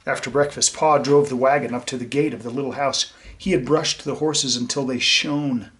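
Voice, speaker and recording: A man's voice: mid-range voice, fairly even intonation, moderate pace, fairly bright tone. A man reading a children's story aloud and talking to young listeners in a simple, explanatory way. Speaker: teacher reading a picture book aloud to children.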